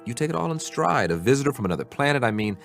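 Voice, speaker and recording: A man with an American accent acting an eager, naive scientist in a radio drama, speaking in a halting, excitable, stammering way. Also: medium pitch, creaky, faint music behind. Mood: nervous, grateful. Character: eager, naive male American scientist stranded on another planet.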